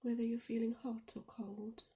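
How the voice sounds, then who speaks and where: Soft, mellow woman guiding meditation, slightly creaky. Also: on the high side, level tone. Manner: soft, soothing, often breathy and near-whispered; slow and deliberate with gentle pauses. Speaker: meditation guide. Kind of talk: guided body scan meditation.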